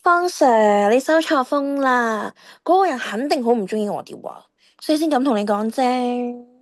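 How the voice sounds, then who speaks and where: A woman's voice: a little high, expressive, moderate pace, close to an everyday voice. A woman playing a cheeky, defiant teenage schoolgirl in an audio drama, with a whiny, dramatic delivery. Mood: evasive, whiny. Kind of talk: Cantonese radio drama acting.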